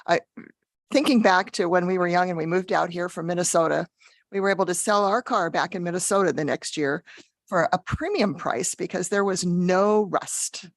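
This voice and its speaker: A woman on a budget committee with a hoarse voice, chatty and blunt as she asks questions at a public meeting. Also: lowish voice, moderate pace, no echo.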